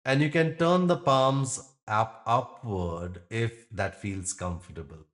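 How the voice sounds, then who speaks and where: A man guiding a meditation as a yoga nidra teacher, speaking in gentle instructions with long pauses. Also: lowish voice. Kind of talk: guided meditation.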